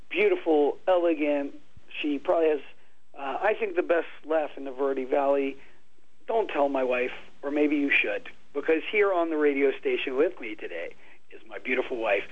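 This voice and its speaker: A man's voice: medium pitch, slightly rough. A man hosting a local radio talk show, chatting casually and often restarting his sentences. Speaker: radio host. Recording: dull lo-fi radio sound.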